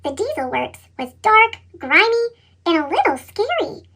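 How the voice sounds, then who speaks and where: Chipmunk-pitched woman reading a children's story. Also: sing-song, measured pace, bright, forceful tone. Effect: sped-up chipmunk voice effect. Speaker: storybook reader. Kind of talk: expressive children's story narration.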